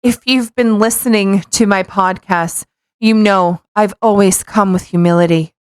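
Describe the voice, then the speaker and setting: A woman's voice: mid-range voice, fairly even intonation, unhurried, clean tone, no echo, studio quality. A woman co-hosting a podcast, reading aloud mockingly with playful, casual banter and a tendency to stumble over words. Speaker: podcast co-host.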